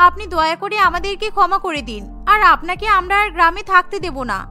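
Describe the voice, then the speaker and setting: Woman as a very high-pitched young daughter-in-law. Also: lively intonation, fast, bright, forceful tone, faint music behind, exaggerated voice. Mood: remorseful, pleading. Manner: brusque scolding.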